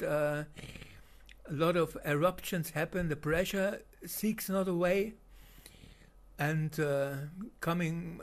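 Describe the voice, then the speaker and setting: A man with a German accent giving unscripted voice-over commentary on video footage, halting with many fillers and restarts. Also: a little high.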